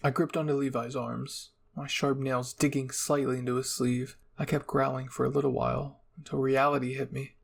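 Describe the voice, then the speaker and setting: A man's voice: medium pitch, level tone, normal speed, vocal fry, few pauses. A man reading an anime fan story aloud as a dramatic first-person narration. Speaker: fan fiction reader.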